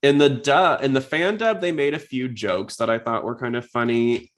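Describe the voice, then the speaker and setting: A man's voice: medium pitch, moderate pace. A man hosting a casual fan podcast, chatty and full of opinions. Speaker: podcast host.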